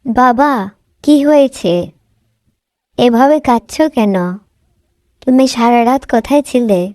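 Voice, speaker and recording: A woman voicing a brave, wise young daughter who speaks calmly and earnestly. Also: fairly high pitch, measured pace, dry recording, studio quality, lightly acted. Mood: concerned. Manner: calm, earnest, resolute.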